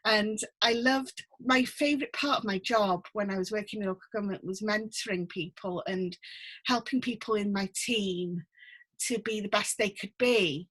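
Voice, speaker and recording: Gentle woman, thoughtful and hesitant. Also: mid-range voice, normal speed, breathy edge. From North Wales. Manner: gentle, thoughtful, many ums and restarts. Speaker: guest, book mentor. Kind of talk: casual interview chat. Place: home room with full bookcase.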